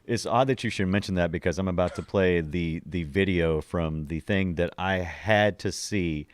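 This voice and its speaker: Middle-aged man, low pitch, dry sound, podcast host, casual jokey rambling. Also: normal speed, dry recording. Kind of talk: casual nostalgic chat.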